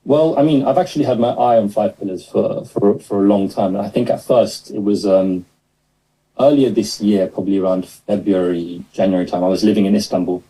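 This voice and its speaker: A man's voice: on the low side, a little fast, mellow, breathy edge, low-quality recording. A man in his twenties from London, talking hesitantly with many fillers as a guest on a video call. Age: 20s. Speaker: guest, new staff member. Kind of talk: casual interview over video call.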